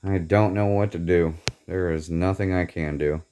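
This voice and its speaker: Brief: low-pitched American man from the Midwest, angry and swearing, vlog rant. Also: fairly even intonation, moderate pace, noisy background. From Northeast Indiana, USA. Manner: bitter, profane, emphatic complaining. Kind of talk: angry personal rant to camera.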